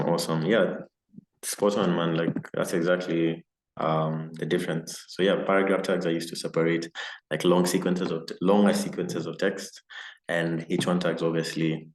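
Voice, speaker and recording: A man teaching a casual live coding lesson on a video call, with lots of fillers. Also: low voice. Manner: relaxed and casual, many fillers. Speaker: coding instructor. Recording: roomy echo, faint noise.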